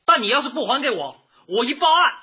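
Whiny agitated young man, radio comedy, sharp raspy voice, insistent, dull lo-fi recording. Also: very high voice, very animated, quick pace, exaggerated voice. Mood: accusing. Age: young adult. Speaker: actor in a radio drama. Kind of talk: comic radio drama acting. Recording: dull lo-fi audio.

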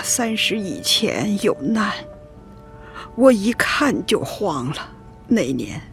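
A woman's voice: lowish voice, fairly bright tone, heavily acted. An actress playing an elderly grandmother in a radio drama, sorrowful and regretful, telling the past wistfully. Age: older. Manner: slow, wistful, tearful storytelling. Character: sorrowful, regretful old grandmother recalling her lost husband.

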